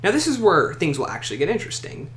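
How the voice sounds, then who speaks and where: Echoey man's voice with lively, swinging pitch. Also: quick pace. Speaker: list video host. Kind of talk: scripted countdown commentary. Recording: echoey room, faint noise.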